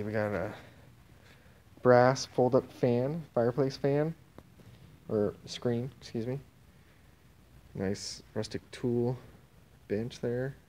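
A man strolls through a warehouse filming with his phone, naming items for an upcoming auction in an unhurried, offhand voice. He talks slowly and trails off mid-phrase at times. A faint hum of room noise sits behind him. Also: on the low side, a little mellow. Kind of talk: casual walkthrough narration. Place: indoor warehouse and tent. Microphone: handheld phone camera.

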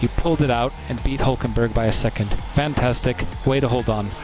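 Male race engineer over team radio, mid pitch. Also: fairly quick. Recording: team radio, loud music and engines behind.

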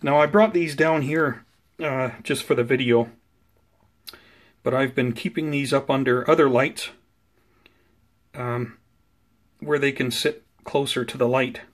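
A man with a medium-pitched voice talks through a home gardening update. He is conversational and unhurried, explaining things off the cuff as a hobby channel host would, recorded indoors on a handheld camera. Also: fairly even intonation. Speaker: host of a plant-growing video channel. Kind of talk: casual unscripted plant update narration. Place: indoors at home beside potted seedlings.

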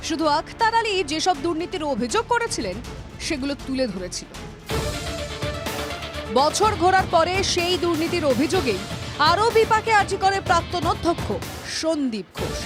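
A woman's voice: very high voice, big pitch swings, normal speed, over music. A woman reading a scripted news report in a dramatic, emphatic way. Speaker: news narrator.

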